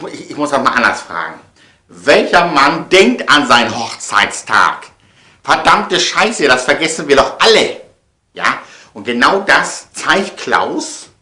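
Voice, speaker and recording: A man's voice: medium pitch, bright, forceful tone. A middle-aged man giving a casual, rambling monologue to viewers, emphatic and theatrical, often repeating his phrases. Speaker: vlogger and audio play producer. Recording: echoey room.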